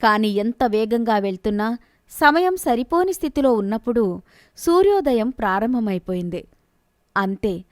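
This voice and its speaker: A woman narrating a scripted bedtime fantasy story in a steady, expressive storytelling manner. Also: fairly high pitch, dry recording, studio quality.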